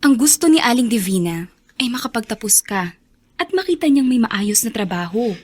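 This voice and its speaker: A woman's voice: fairly high pitch, sing-song, moderate pace, husky. A woman playing a caring young friend in a Filipino radio drama, earnest and concerned, with a Filipino accent. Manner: concerned, urging.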